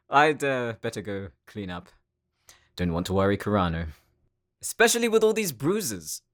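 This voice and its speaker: A man's voice: lowish voice, big pitch swings, fairly bright tone, dry recording, studio quality, full character voice. An actor playing an earnest, bashful young male demon hunter in an audio roleplay drama, speaking hesitantly. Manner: hesitant, halting.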